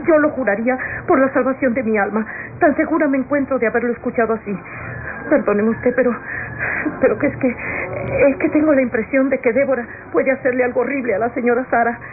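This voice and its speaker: An actress with a Latin American accent playing a frightened, deferential, devout maidservant in a classic radionovela, pleading and stammering with agitation. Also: female voice, a little high, fast, natural voice. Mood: anxious, alarmed. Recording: tinny old radio recording, noisy background.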